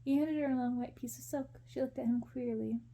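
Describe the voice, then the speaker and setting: Female ASMR reader: hushed, gentle storybook narration, very soft dark tone, slightly high pitch, faint noise behind. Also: fairly even intonation, fairly quick.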